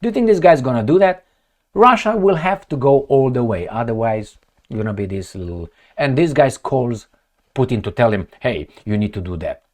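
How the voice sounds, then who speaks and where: A man's voice: mid-range voice, animated, moderate pace. A man giving blunt, sarcastic political commentary, firing off rhetorical questions and swearing as he reacts to news reports.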